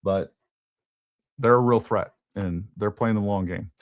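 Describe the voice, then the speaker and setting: A man's voice: on the low side, measured pace, no echo, studio quality. A man hosting a casual political talk show, thinking aloud and repeating words as he goes. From Texas. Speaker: podcast host.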